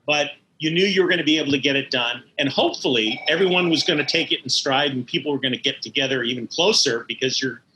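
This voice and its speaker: A man's voice: medium pitch, fast, few pauses, noisy background. A middle-aged or older man, an interview guest recalling his work in animation with emphatic enthusiasm. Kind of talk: conversational recollection.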